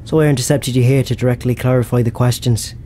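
A man acts a male intelligence agent in a sci-fi audio drama. The voice is mellow and dark, cool, dry and a little smug. There is faint ambience behind it. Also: mid-range voice, quick pace, a little hiss or hum, natural voice. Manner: deadpan, clipped.

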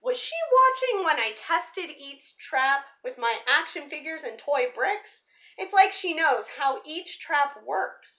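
A young woman presenting to children in an expressive storybook read-aloud style, stressing key words like a teacher. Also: sits high, a little slow. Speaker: teacher reading a picture book aloud for children. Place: small living room or room at home, indoors.

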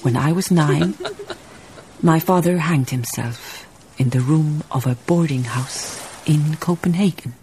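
An actress in a radio drama playing a reflective, melancholy Danish baroness narrating her life in a slow, musing, literary manner, husky and breathy. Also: sits low, mellow, slight background noise, rough recording.

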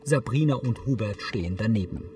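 A man's voice: fairly low pitch, lively intonation, fairly quick, recorded from a distance, music in the background, lightly acted. A man reading scripted scene descriptions as a neutral narrator for a television film.

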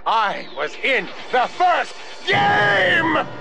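An actor voicing a creepy, indignant older mad scientist in a cartoon game, pompous and theatrical. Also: male voice, very high-pitched, wildly swooping pitch, extremely slow, gravelly, exaggerated voice. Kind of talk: cartoon video game acting. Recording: loud music behind the voice.

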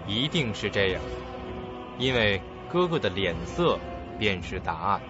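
A man's voice: fairly low pitch, sing-song, measured pace. A man narrating a radio drama in a dramatic, scripted storytelling style. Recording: muffled dull recording with loud music.

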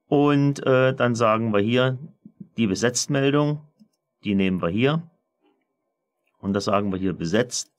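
A man presenting a software screencast tutorial, explaining methodically step by step in a semi-spontaneous walkthrough. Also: on the low side.

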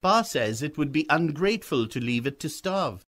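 A man's voice: on the high side, lively intonation, normal speed, a bit bright, voice pushed up. A man voicing an eager, earnest country boy who pleads politely with a lilt in an audiobook story. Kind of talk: acted audiobook dialogue.

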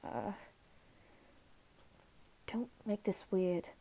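A woman voicing a flustered, guarded but caring teenage anime boy in an ASMR roleplay, halting and stammering, sometimes whispering. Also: mid-range voice, sing-song, dark tone, full character voice. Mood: awkward, caring. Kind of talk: ASMR roleplay, soft scripted acting.

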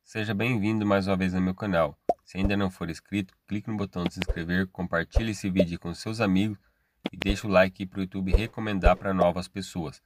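A man's voice: low-pitched, background noise. A Brazilian man hosting a tech tutorial, walking through steps casually and checking in often. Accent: Brazilian Portuguese. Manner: step-by-step, casual, tag questions. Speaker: tech channel host. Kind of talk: screen-recorded tech tutorial.

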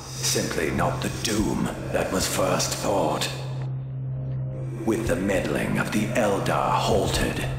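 An actor playing a malevolent game sorcerer who savors doom with a theatrical, gloating manner. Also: male voice, deep voice. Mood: cold musing. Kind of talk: video game villain cutscene acting. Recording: echoing voice, loud music behind.

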